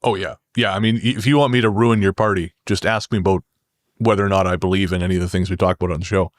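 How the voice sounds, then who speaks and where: A man's voice: deep voice, fairly even intonation, quick pace, no echo, studio quality. A man speaking as a podcast guest, telling anecdotes casually in a wry manner. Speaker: podcast guest, ghost show host.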